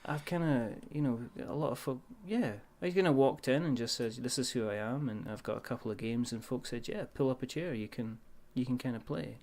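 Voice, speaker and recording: Male host, mid-pitched, jokey and relaxed in conversation. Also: brisk, hardly any pauses. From United Kingdom. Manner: playful, jokes and laughs. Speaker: podcast host. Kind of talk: casual chat.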